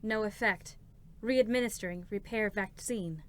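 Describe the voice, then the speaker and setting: A woman voicing a formal, unfeeling support robot, with clipped, procedural, deadpan delivery in a dramatic reading. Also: medium pitch, measured pace, slight background noise, close to an everyday voice. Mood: impassive. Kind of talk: dramatic reading of game characters. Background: music.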